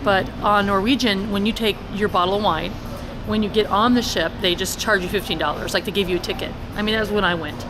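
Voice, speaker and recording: A middle-aged woman chatting casually to viewers as a travel vlogger, in an excitable, rambling way. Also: mid-range voice, quick pace. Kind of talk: casual vlog chat to viewers. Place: supermarket, parking lot, shuttle bus. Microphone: handheld camera at arm's length. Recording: noisy action camera on location.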